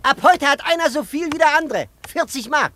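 A man's voice: extremely high pitch, expressive, brisk, bright, forceful tone, slight background noise, heavily acted. An actor playing a mocking village barber who cackles as he taunts newcomers in a radio play. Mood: gleeful mockery. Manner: mocking, cackling between phrases. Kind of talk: German radio play acting.

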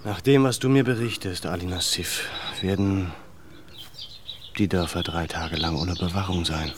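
A man's voice: deep voice, fairly even intonation, measured pace, noisy background. An actor playing a determined man who questions people firmly and commands, in a radio play. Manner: firm, probing, commanding. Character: determined landowner leader, adult man.

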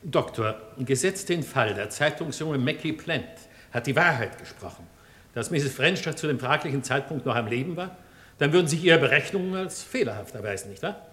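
An actor playing a formal, shrewd defense lawyer making deliberate, rhetorical challenges in a German radio crime drama. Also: male voice, mid-range voice, lively intonation, fairly bright tone, close to an everyday voice. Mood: challenging. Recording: long courtroom reverb.

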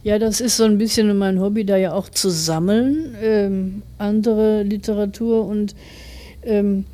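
A woman's voice: mid-range voice, steady tone, a little slow, warm tone. A woman of forty, an author answering interview questions in a reflective, careful, explanatory way.

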